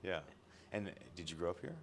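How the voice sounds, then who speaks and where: American guy hosting a chat, really low voice, rambles with lots of likes and uhs, bit of room hum behind. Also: lively intonation, brisk. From United States. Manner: rambling, chatty, laughs often, trails off with fillers. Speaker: host. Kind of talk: casual interview. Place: room at an event in London.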